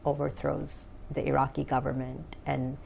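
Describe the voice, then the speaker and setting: A woman's voice: extremely low pitch, flat delivery, normal speed, vocal fry, a little mellow, slight background noise. A woman from Bangladesh answering conversationally as an interview guest, hesitant as she searches for words. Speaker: interview guest, author and English teacher.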